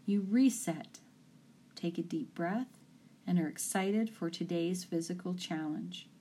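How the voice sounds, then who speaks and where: A woman with a fairly low, mellow voice guides a mental imagery session for athletes. She speaks very slowly and deliberately, giving each instruction calmly. She sounds like a coach talking a listener through relaxation and focus. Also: slightly rough.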